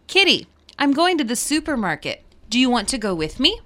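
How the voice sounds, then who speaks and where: A woman reading English listening-test dialogues with a clear, exaggerated teaching delivery. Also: sits high, sing-song, fairly quick, fairly bright tone. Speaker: dialogue reader.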